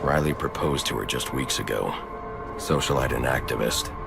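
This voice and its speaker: An actor playing a grim, stern vigilante detective in a video game, speaking in a low gravelly growl with clipped, deadpan, analytical delivery. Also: male voice, moderate pace, music in the background. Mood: grim, focused.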